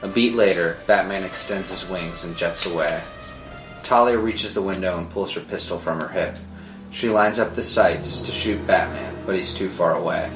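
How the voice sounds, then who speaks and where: Male narrator for script read: dramatic delivery, deep register, roomy sound, score behind. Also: moderate pace. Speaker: narrator reading stage directions. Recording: roomy sound with music underneath.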